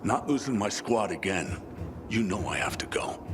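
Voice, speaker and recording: Male actor as gruff squad lieutenant, low voice, swooping intonation, blunt, comradely, commanding, video game drama. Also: lively intonation, normal speed, background noise, lightly acted. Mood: defiant. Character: gruff, loyal military lieutenant, rallying his squad. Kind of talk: video game cutscene acting.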